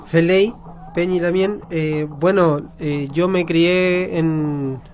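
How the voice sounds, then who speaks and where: A man, a radio guest telling his story in a reflective way with many pauses. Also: fairly high pitch, fairly even intonation, a little mellow, slight background noise. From Chile. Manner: reflective, pauses with frequent fillers. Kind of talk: radio interview, personal story.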